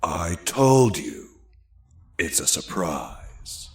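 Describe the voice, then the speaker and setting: A secretive, self-important spy played by a man in an audio drama. He talks slowly and theatrically, his pitch leaping around dramatically. The sound is roomy, with music behind. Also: big pitch swings, heavily acted. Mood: slyly cheerful. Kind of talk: dramatized audio story acting. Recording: roomy echo over background music.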